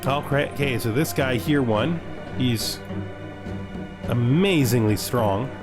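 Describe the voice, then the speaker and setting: A man's voice: medium pitch, slow pace, over music. A man giving casual let's-play commentary, thinking aloud in short remarks and at times reading game text out. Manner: thinks aloud in short remarks, role-playing along in the first person. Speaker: gaming video host playing and commenting.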